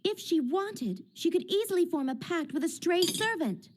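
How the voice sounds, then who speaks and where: A woman voicing a sharp, bossy teenage girl mage who lectures firmly, in a fan manga dub. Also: very high voice, lively intonation, normal speed, slight background noise. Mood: lecturing. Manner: firm, lecturing.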